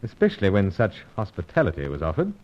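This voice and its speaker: A secret agent from an old radio adventure serial. His low voice moves with lively, sweeping inflection, urbane and dryly amused in his old-fashioned phrasing. The sound is dull and lo-fi. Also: quick pace. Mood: firm, dismissive. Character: suave, unflappable British male secret agent narrating his adventures.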